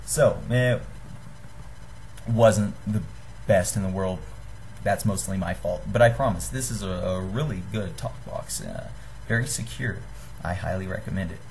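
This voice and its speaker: A young man giving a casual how-to demonstration to a webcam in a small room, halting and apologetic. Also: low-pitched, a little mellow, a little hiss or hum, poor audio. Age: young adult. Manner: halting, apologetic, self-deprecating. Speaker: hobbyist giving a homemade gadget tutorial. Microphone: webcam or computer microphone at desk distance.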